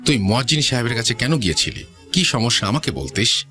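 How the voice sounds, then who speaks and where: A man's voice: medium pitch, very animated, fast talker, loud music behind the voice, pitched above the performer's own voice, close to an everyday voice. A man playing a sly, evasive young male student in a ghost story. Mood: angry.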